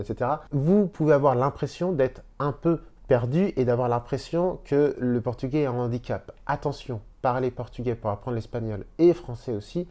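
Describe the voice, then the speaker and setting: A man who teaches Spanish, speaking in a casual video lesson from a hotel room and explaining slowly with repeated words. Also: mid-range voice, dark tone.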